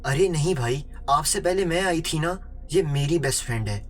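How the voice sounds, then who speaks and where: A man's voice: fairly high pitch, animated, moderate pace, a bit bright, voice pushed up. A man voicing a crazed, gleefully obsessed young girl in a horror story, shrieking and repeating phrases manically. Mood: possessive, insistent. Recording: music behind the voice.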